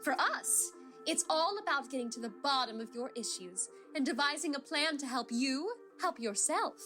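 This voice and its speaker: A woman's voice: high voice, expressive, moderate pace, bright, forceful tone. A woman reading scripted promotional and credits narration as an announcer. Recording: clear music bed behind voice.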